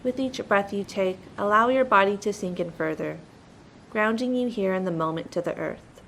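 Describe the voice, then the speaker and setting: A woman narrates a guided meditation with soothing, gentle instruction. Her medium-pitched voice carries noticeable creak. A faint low rumble sits in the background of the recording. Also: normal speed.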